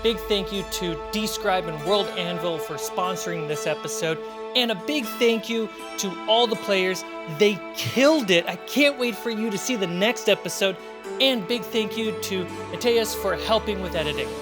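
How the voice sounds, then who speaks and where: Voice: mid-range voice, normal speed. A game master and show host talking in the style of a tabletop fantasy game. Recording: background music under voice. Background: background music.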